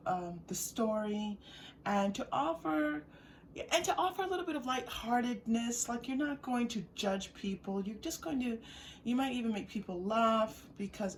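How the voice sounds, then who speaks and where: A woman giving an unscripted, intuitive tarot reading straight to viewers, speaking gently and reassuringly in halting, searching phrases with repeated words. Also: on the high side. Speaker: tarot reader. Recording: distant mic with faint background noise.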